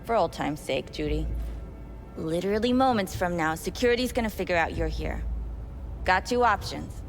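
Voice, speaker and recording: A woman's voice: lowish voice, expressive, normal speed, faint music behind. A woman playing a cold, pragmatic manager in a cyberpunk game, speaking in a dismissive, businesslike way. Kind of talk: video game voice acting.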